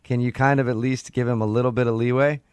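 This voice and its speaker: A man's voice: mid-range voice, steady tone, fast, darkish tone, clean tone, dry recording, clean studio sound. A man hosting a morning radio show, bantering and steering the conversation.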